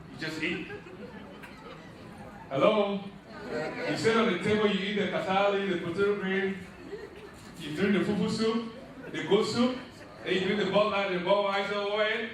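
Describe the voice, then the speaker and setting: A man's voice: high-pitched, a bit bright. A middle-aged man gives a preacherly motivational speech to a crowd, asking them questions and building to emphatic peaks. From Liberia. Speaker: nonprofit founder giving a launch speech. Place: large echoey event hall. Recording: echoey hall, distant mic, noisy.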